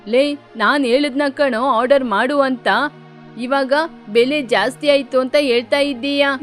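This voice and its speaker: A woman's voice: high-pitched, lightly acted. A woman voicing a hot-tempered young female bird who sells pickles and quarrels with customers. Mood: angry. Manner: sharp-tongued, quarrelsome. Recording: faint music behind.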